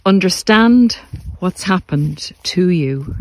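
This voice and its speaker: Guy with a highish voice talking really slowly, earnest spiritual pep talk, repeats phrases to drive it home. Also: lively intonation, no echo. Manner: earnest, deliberate, repetitive emphatic phrasing. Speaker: podcast host, narcissistic abuse recovery coach. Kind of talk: motivational spiritual talk to listeners.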